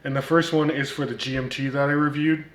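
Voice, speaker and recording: A man with a light Turkish accent talks in a casual vlog and fills his sentences with plenty of ums and uhs. His pitch sits in an ordinary middle range, and he fires back at critics with wry one-liners. The room around him rings with a noticeable echo. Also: level tone, a little fast. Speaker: watch reviewer, channel host.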